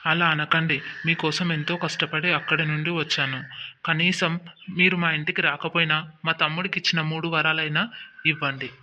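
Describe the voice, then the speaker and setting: A man plays a greedy elder brother in a village moral cartoon. He is brusque, with a bright, forceful voice a little on the high side. The audio is dull and lo-fi. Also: fairly even intonation, brisk. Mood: pleading. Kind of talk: moral story cartoon dialogue. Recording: dull lo-fi audio with clear noise.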